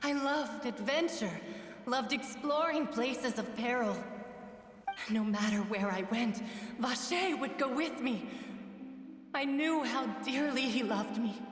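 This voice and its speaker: A woman's voice: fairly high pitch, takes it slowly, slight background noise. A woman voicing the sorrowful lingering consciousness of a lost young woman adventurer, speaking in a wistful, mournful way. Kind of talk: game voice acting. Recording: roomy reverb, distant from mic.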